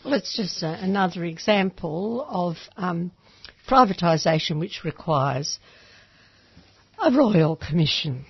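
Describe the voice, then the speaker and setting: A woman's voice: fairly low pitch, lively intonation, unhurried, husky, no echo, highs cut off. A woman, a studio guest, speaking hesitantly with many fillers.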